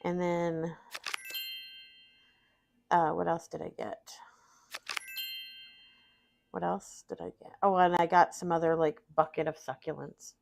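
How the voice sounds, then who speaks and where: A woman's voice: fairly low pitch, flat delivery, slow pace, vocal fry, pauses often, darkish tone. A middle-aged woman, sniffly and tired after vaccines, chatting casually and rambling about her day while playing solitaire. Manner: rambling, self-deprecating, talks to chat. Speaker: streamer.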